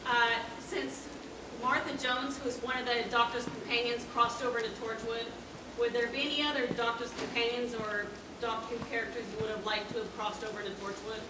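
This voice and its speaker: Female audience questioner, higher register, unscripted, noisy echoey room. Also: level tone, a little fast. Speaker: audience member asking questions. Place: convention panel room. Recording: echoey, distant, noisy hall recording.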